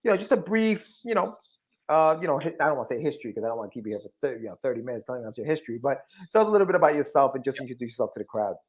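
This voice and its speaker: Fast-talking, chummy male podcast host. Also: medium pitch, very animated, breathy edge, poor audio. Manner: fast, chummy, repeats phrases. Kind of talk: casual interview chat.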